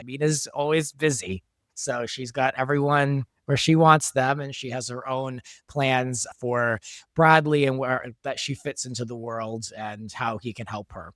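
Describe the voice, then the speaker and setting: A man's voice: mid-range voice, measured pace, a bit bright, no echo. A man speaking as a creator and podcast guest, explaining his work conversationally in a casual discussion. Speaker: audio drama creator and guest.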